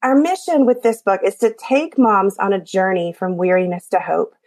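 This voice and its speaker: A woman's voice: mid-range voice, moderate pace, a little mellow, some chatter behind. A woman podcast host with an Appalachian accent, her voice scratchy from allergies, talking in an encouraging, chatty way with small jokes. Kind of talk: scripted podcast intro and casual chat.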